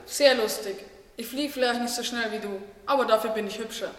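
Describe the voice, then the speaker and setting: A woman's voice: on the high side, fairly quick, fairly bright tone, lightly acted. A woman playing a cheeky, vain female butterfly in a German radio play. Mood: teasing. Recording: distant echoey hall, faint noise.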